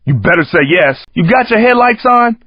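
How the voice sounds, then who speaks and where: A cocky American street-racing hype man from a video game, slangy and teasing. His voice is high and husky with lively swings. The sound is dry, muffled game audio. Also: moderate pace, dry recording. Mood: joking, urging. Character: cocky, hip male San Francisco street-racing coach, joking and hyping. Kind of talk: video game character commentary.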